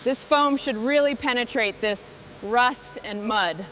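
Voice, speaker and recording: A woman's voice: a little high, slow, fairly bright tone, a little hiss or hum, rough recording. A woman hosting a vehicle restoration video, speaking short scripted commentary on the work as it goes.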